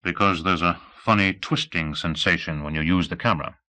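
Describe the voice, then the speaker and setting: A male narrator voicing a cautious, dryly amused rich man who asks measured questions in an audiobook. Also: sits low, sharp tone, no room sound. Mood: wary. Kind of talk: audiobook character voice.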